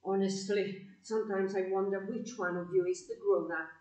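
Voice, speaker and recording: A woman's voice: mid-range voice, fairly even intonation, normal speed, a little mellow, lightly acted. A woman playing a fussing, good-humoured, chiding aunt in a community audio play. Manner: chiding, exclamatory. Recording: echoey room, slightly distant mic.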